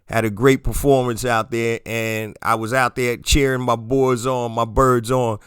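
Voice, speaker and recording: A man with a hoarse voice hosting a solo podcast, speaking straight to his listeners in a motivational, promotional way. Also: medium pitch, level tone, measured pace, fairly bright tone, dry recording, clean studio sound. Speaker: podcast host.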